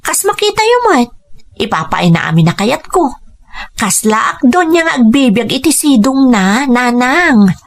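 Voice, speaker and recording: An actress playing an ambitious young kept woman in an audio drama, with a Filipino accent and expressive delivery. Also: high-pitched, measured pace, exaggerated voice. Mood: proud, insistent. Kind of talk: Ilocano audio drama acting.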